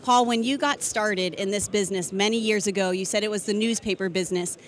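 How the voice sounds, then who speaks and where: A woman's voice: mid-range voice, fairly even intonation, a little fast. An American woman presenting and interviewing in a sports broadcast style.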